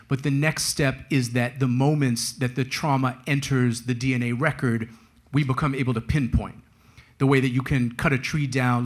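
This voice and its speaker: A man speaking as a guest author at a live talk, telling stories in a wry, casual, slangy way. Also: mid-range voice. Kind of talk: conversational storytelling at a live book talk.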